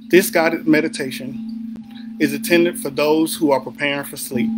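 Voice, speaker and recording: A man guiding a sleep meditation in a soothing, deliberate manner with unhurried pauses. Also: a little high, poor audio. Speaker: meditation guide. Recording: background music under the voice. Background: music.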